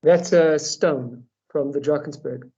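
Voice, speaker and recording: Male workshop participant, slightly high and soft-toned, hesitant and playful, over muffled video call audio. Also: takes it slowly. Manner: hesitant then playful, thinking aloud with "um".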